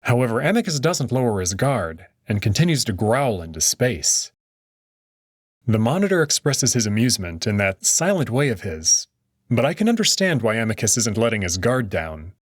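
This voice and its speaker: A man's voice: medium pitch, very animated, moderate pace, husky, no room sound, studio quality. A man giving dramatic scripted narration as he reads a visual novel story aloud.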